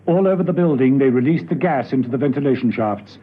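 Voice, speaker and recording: A man's voice: medium pitch, fast talker, slightly rough. A man narrating a spy radio drama in a dramatic, scripted storytelling style. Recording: tinny, noisy old radio broadcast.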